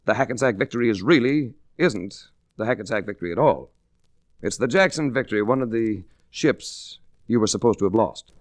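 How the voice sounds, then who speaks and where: A tough, wisecracking investigator played by a man in a vintage radio drama. His low voice swings widely in pitch with hard-boiled wryness, recorded close and dry. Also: expressive, fairly quick, no room sound, natural voice. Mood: probing. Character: wisecracking tough male adventurer-investigator narrating his own case. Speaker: lead actor and narrator. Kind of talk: 1940s radio detective acting. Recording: restored 1940s radio recording.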